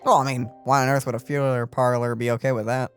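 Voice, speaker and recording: Very low-voiced woman commenting on a game. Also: level tone, fast, soft background music. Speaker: let's play streamer.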